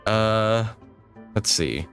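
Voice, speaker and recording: A low-voiced man hosting a casual game stream. His intonation swings freely as he jokes and muses with the chat, with faint music in the background. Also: expressive, drawn-out words, a bit bright.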